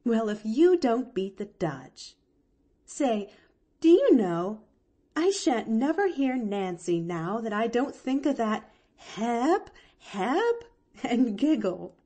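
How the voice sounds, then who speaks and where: Woman playing a folksy, animated young servant woman. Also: a little high, slow, voice pushed up, full character voice. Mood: amazed, amused. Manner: folksy, rustic, blunt phrasing. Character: plain-spoken, grumbling, good-hearted young servant woman. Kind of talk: expressive audiobook character reading.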